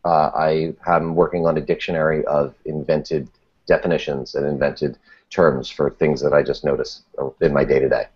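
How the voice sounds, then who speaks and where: A man's voice: a bass voice, steady tone, moderate pace, darkish tone, breathy edge. A man talking casually as a podcast guest in a wry, self-deprecating, rambling way with frequent false starts. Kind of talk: casual interview chat. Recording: dull, muffled lo-fi audio.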